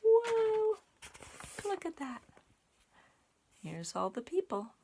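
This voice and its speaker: A woman reading a children's picture book aloud in an expressive, deliberate way for young listeners, with little asides. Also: extremely high pitch. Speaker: storybook reader and sign language teacher.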